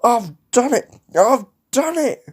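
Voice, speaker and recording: A man's voice: medium pitch, expressive, takes it slowly, a little mellow, voice pushed up. A man voicing a proud, emotional and determined steam engine with a puffing, exclamatory manner for a storybook. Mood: triumphant.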